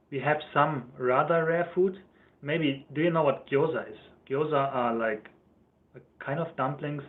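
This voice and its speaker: A young man with a German accent chatting casually on a livestream, rambling and correcting himself as he answers viewers' questions. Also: mid-range voice. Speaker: YouTuber. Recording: thin phone-like livestream audio.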